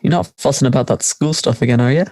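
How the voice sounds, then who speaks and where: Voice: mid-range voice, fast talker, a little mellow, no room sound, close to an everyday voice. A teenage performer playing a carefree, teasing school friend in conversational audio drama dialogue. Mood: breezy. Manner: laid-back, teasing.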